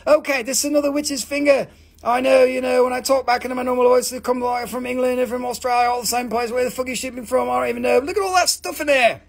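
A man hosting a live rock sale, joking, swearing and rambling in fast auction patter. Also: extremely high pitch, steady tone, creaky. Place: mineral shop indoors.

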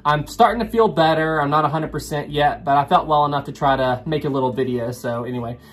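A young man in his twenties, his voice a little rough from recovering from a cold, telling a history story to camera from a small home room. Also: on the high side, quick pace. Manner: earnest, repeats names often. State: slightly rough voice from recovering illness. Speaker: history channel host. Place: small home room with wood paneling and ship models.

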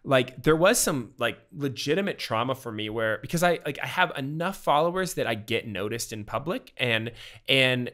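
Animated man telling a story on a podcast. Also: mid-range voice, moderate pace. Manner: animated, many "like" fillers, acts out quotes. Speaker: podcast guest. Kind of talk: casual interview storytelling. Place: home room with bookshelves. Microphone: studio mic with pop filter, headphones.

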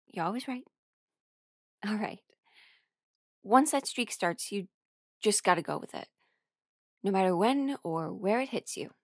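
A woman playing a friendly, teasing young musician in an audio roleplay, speaking in a casual, halting, intimate way. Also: a little high, no room sound, studio quality. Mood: awkward, reassuring. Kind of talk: intimate conversational roleplay acting.

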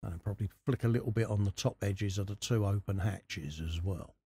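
A man's voice: fairly low pitch, a little fast, warm tone, breathy edge. A middle-aged man, chatting informally and unhurriedly through a hands-on hobby tutorial. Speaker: host of a scale model workshop channel. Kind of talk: casual hobby tutorial commentary while working. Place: indoor hobby workbench.

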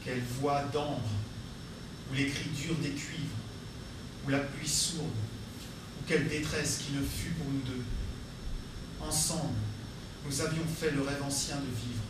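Actor as solemn male deportee poet, measured verse, big echoey theatre, noisy and far away. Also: medium pitch, natural voice. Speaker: stage performer reciting poetry. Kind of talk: theatrical poetry recitation. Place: theatre stage, distant microphone.

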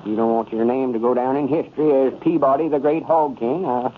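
An actor playing a vain, stubborn old country storekeeper with a folksy rural Southern drawl in a 1930s radio comedy. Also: male voice, mid-range voice, fairly quick, lightly acted. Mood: self-important. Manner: folksy drawl, self-important. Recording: tinny noisy old radio broadcast.

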